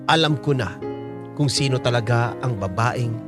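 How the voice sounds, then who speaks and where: A man's voice: mid-range voice, moderate pace, music in the background, natural voice. A man with a Filipino accent playing a hesitant, sombre, guilt-ridden older man in a radio drama. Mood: reflective. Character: guilt-ridden older man torn over a forbidden love. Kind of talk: radio drama acting and narration.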